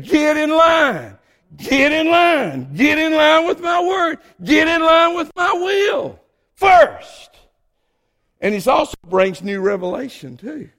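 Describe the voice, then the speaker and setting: An older man preaching a sermon, his voice hoarse, building to shouted emphasis. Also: sits high, in a large hall.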